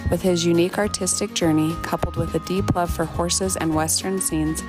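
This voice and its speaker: A woman host reads a scripted introduction in a measured, solemn way, taking her time. Her voice sits on the low side. Plucked guitar music plays clearly under her words. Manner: measured, slow and solemn when narrating. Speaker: podcast host. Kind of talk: scripted intro narration and interview. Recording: music bed behind the voice.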